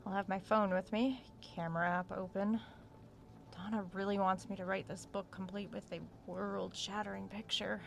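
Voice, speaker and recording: A woman's voice: mid-range voice, little pitch movement, moderate pace, vocal fry, slight background noise, natural voice. An actress playing an uneasy woman writer investigating a haunted house, thinking aloud hesitantly as in a diary in a horror audio drama. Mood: uneasy, reflective.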